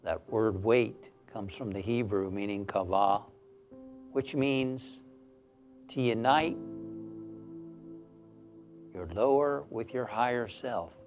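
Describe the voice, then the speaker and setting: A man leading a guided meditation as a minister, speaking in a calm, soothing, measured way with long pauses. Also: lowish voice, soft background music.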